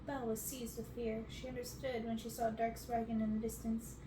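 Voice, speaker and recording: A woman's voice: fairly high pitch, level tone, a little fast. A woman in her twenties reading a storybook aloud as an ASMR reader. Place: bedroom, on a bed. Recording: roomy, noisy, distant mic.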